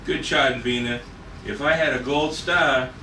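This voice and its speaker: A man's voice: medium pitch, steady tone, moderate pace, sharp tone, lightly acted. A man playing an easygoing, teasing rocket crewman in a read-through of a retro space adventure script. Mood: persuasive, friendly. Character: easygoing, teasing male rocket crewman defending a young woman. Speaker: actor at a script table read. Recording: echoey room, distant mic, noisy.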